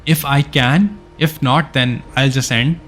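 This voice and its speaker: Slow-talking casual male game streamer. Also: mid-range voice, fairly even intonation, a bit bright. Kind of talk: casual live gameplay commentary.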